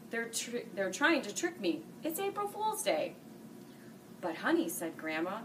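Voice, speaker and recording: Expressive woman reading a storybook. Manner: expressive reading, dropping low and soft for older characters. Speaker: school staff reading buddy. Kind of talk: children's picture book read-aloud. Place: small room, seated before a green-screen farm backdrop. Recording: distant mic with faint hiss.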